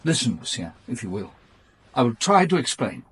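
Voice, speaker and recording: Smooth-talking married lover, radio drama, rough-edged husky voice, very animated intonation, evasive, pleading. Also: male voice, mid-range voice, moderate pace. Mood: earnest, defensive. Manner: stammering when pressed.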